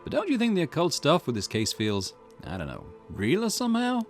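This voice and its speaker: A man voicing an eager, cheeky and excitable young detective sidekick with a teasing manner in a visual novel game. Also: a little high, fairly quick, faint music behind, voice pushed up. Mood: curious, teasing. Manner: teasing, animated.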